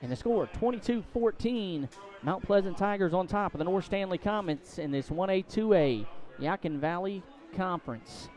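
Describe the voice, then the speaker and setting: An American man calling live high school football play-by-play on a headset mic at a stadium. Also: lively intonation, normal speed, a little mellow. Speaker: play-by-play sports announcer. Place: outdoor stadium.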